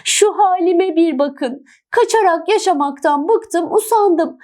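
A woman's voice: very high-pitched, slow, a little mellow, voice pushed up. A woman voicing a tiny, weary and wistful young sparrow in a children's storybook, sighing and plaintive.

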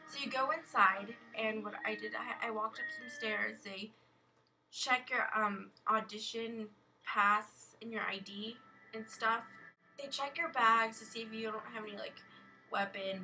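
Young american girl vlogging in her bedroom, kinda high voice, rambly and expressive, chatty storytelling. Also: unhurried, music in the background, low-quality recording. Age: young adult. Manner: animated, expressive, acts out exchanges. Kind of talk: rambling casual vlog storytelling. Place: bedroom at home. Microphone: built-in camera or webcam mic at arm's length.

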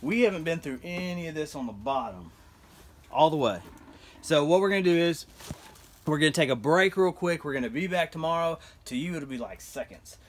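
A man's voice: on the high side, animated, brisk. A man, chatting casually and jokily to camera as he hosts a rambling unboxing vlog. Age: 30s. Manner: rambling, folksy, jokey. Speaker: host of storage unit unboxing show. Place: storage unit and truck, open doors. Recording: noisy camera audio with vehicle sounds.

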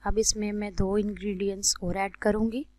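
A woman with a Pakistani accent narrates a recipe tutorial as a cooking host, in a measured, instructional way. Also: mid-range voice, level tone, mellow, dry recording.

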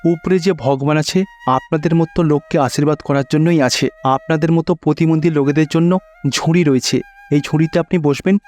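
A man's voice: a little high, steady tone, fairly quick, a little mellow, close to an everyday voice. A man playing a kindly, helpful young traveller in a cartoon, polite and reassuring. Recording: faint music behind.

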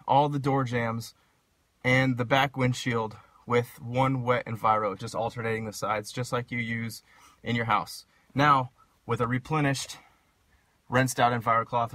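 A man's voice: mid-range voice, fairly bright tone. A man in his thirties giving a casual, upbeat product demonstration in his home garage, talking straight to viewers. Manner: upbeat, instructional, addresses viewers directly. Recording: background music under voice. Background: background music.

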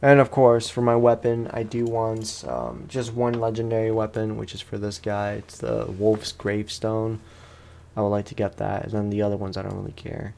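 A man's voice: on the low side, normal speed, creaky, a little mellow, slight background noise. A man giving casual let's play commentary as a gaming host, in a rambling, slangy, self-deprecating way.

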